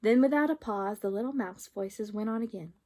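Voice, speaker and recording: A woman's voice: mid-range voice, brisk, a little mellow. A woman reading a children's storybook aloud expressively, drawing out some phrases.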